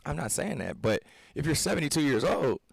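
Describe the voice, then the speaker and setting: A man's voice: on the high side, sing-song, a little fast, gravelly, breathy edge, no echo, studio quality. A man in his thirties co-hosting a fitness podcast, talking in an earnest, preachy way that rambles and restarts. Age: 30s. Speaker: podcast co-host, fitness coach. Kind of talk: casual podcast discussion.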